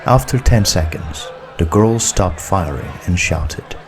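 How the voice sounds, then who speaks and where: A man narrating a story as a scripted audiobook, in a measured, deliberate way. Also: low voice, lively intonation, soft background music.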